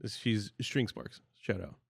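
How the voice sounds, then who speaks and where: A man's voice: fairly low pitch, animated, slow, gravelly, slightly rough, no room sound, clean studio sound. A young man chatting casually and earnestly as a podcast co-host, recalling his own wedding. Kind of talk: casual chat.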